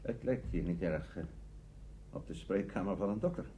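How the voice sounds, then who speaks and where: An actor playing a wary, uneasy army major who is a psychiatric patient in a radio play. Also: male voice, sits low, lively intonation, moderate pace, dark tone, a little hiss or hum. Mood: uneasy, hesitant. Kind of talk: radio drama acting.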